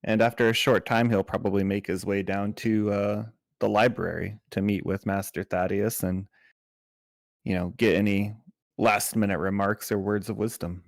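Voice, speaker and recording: A man's voice: lowish voice, little pitch movement, moderate pace, gravelly, no echo, studio quality. A man playing a tabletop game, casually improvising what his character does and thinking aloud as he goes.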